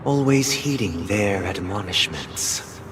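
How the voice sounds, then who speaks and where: A man's voice: deep voice, lively intonation, takes it slowly, background noise. A man playing a devout, solemn young interrogator in a video game, speaking with formal, ritual measure over music. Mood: stern questioning. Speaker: character voice actor. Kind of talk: scripted video game drama acting.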